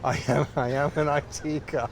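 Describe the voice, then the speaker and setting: A man's voice: a little high, little pitch movement, normal speed, background noise. A middle-aged man speaking in an interview, thoughtful and earnest, with slight hesitations. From United States. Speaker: typewriter collector. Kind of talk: interview answers.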